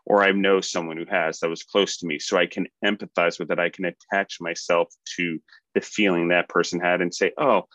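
Deep-voiced man, motivational podcast talk. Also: level tone, a little fast, fairly bright tone, dry recording, muffled recording. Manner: motivational, rambling with fillers and restarts. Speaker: podcast co-host. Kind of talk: casual podcast talk on leadership.

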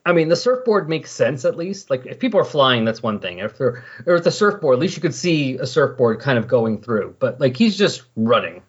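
Male podcast host, husky voice, casual explanatory recapping, dull lo-fi sound. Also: medium pitch, sing-song, a little fast, few pauses. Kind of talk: casual comic book discussion. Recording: dull lo-fi voice recording.

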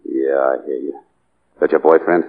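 A man's voice: a bass voice, level tone, normal speed. A man acting a cold, bitter hired gunman on the run in a 1940s radio drama, with terse, tough street talk. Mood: guarded, bitter. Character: hunted hired gunman, cold, bitter hard-boiled loner. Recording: muffled old radio broadcast.

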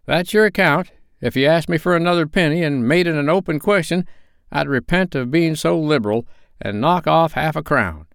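A man's voice: fairly high pitch, moderate pace, creaky, clean tone, no echo, clean studio sound, voice pushed up. Man doing a crafty old rag dealer. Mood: haggling. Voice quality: wheedling, chuckling.

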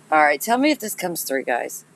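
A woman chats casually with her listeners on a live podcast. Her voice is raspy and gritty, stuffed up and nasal from congestion. She talks straight to her audience in a relaxed, self-mocking way. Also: low-pitched, low-quality recording. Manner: chatty, laughs at herself, talks straight to listeners. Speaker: podcast host.

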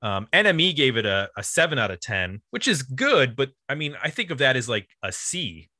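A middle-aged American man co-hosting a music podcast, chatting casually in a self-deprecating, meandering, halting way. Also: medium pitch, sing-song, pushed and bright, dry recording, clean studio sound. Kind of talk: casual album discussion.

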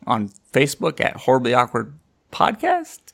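A man's voice: medium pitch, huge pitch swings, moderate pace, gravelly, fairly bright tone. A man hosting a podcast in casual chat, teasing and often repeating himself. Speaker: podcast host.

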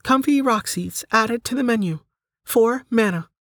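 A narrator voicing a magical game menu that announces items and prices in a formal, measured, obliging way for an audiobook. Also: mid-range voice, fairly bright tone, dry recording, studio quality, voice pushed up. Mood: announcing.

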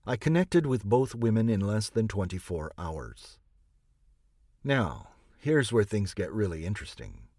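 A man reading a scripted audiobook narration in a measured, expressive storytelling way. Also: lowish voice, no room sound, studio quality.

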